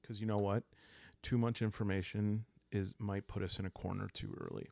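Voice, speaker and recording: A calm, soft-spoken man improvising sleepy ASMR roleplay narration in a halting way as he hosts a solo game. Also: on the low side, gravelly, no echo.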